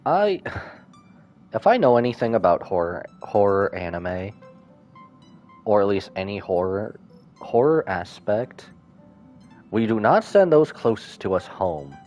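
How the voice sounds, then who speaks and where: Guy streaming a game, low voice but lively, casual reactions, faint music behind. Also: a little slow, long pauses, dull audio. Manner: informal, expressive asides. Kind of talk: casual live reaction commentary.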